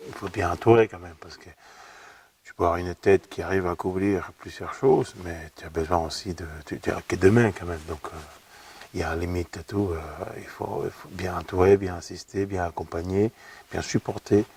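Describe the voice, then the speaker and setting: A man's voice: deep voice, fairly even intonation, normal speed, a little mellow, a little hiss or hum. A middle-aged man from Argentina with an Argentine Spanish accent, a guest speaking thoughtfully in an interview and often repeating words as he searches for them. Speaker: opera singer guest. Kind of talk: relaxed interview talk. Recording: voice from a distant mic.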